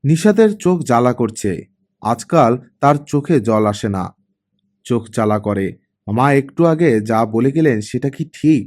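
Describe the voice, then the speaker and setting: A man's voice: medium pitch, expressive, normal speed, darkish tone. A man reading a work of fiction as an audiobook narrator, in a dramatic storytelling style.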